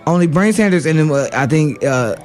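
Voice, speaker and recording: A man's voice: fairly high pitch, expressive, a little fast, darkish tone. A man with a slightly raised voice rants about politics on a talk radio program. He talks in a heated, argumentative way and keeps restarting his sentences around "uh". Faint music plays underneath. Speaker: radio show co-host. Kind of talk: political commentary.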